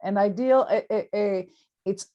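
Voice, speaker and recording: A woman's voice: medium pitch, unhurried, highs cut off. A woman moderating an academic discussion, explaining ideas off the cuff and checking in as she goes.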